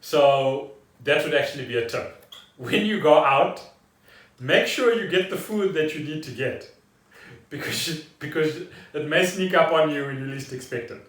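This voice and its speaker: A man co-hosting a casual podcast, speaking in halting, deliberate phrases. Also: on the high side, fairly bright tone. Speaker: podcast co-host. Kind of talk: casual unscripted chat. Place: echoey room at home. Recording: roomy echo, distant from mic.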